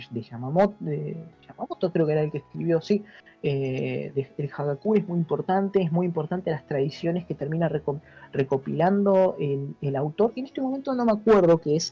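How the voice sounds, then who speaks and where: A man's voice: fairly high pitch, dark tone. An Argentine man speaking as an interview guest, explaining things informally like a teacher and checking understanding with short tag questions. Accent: Argentine Spanish. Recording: dull lo-fi audio with music behind. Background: music.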